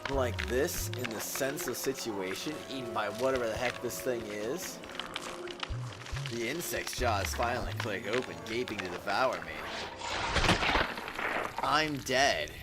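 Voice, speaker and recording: A man's voice: mid-range voice, unhurried, pauses often. A man streaming a game, reading narration aloud dramatically and dropping in casual, joking commentary. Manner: dramatic read-aloud mixed with joking asides. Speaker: streamer playing a visual novel game. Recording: loud music behind the voice. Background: loud game music.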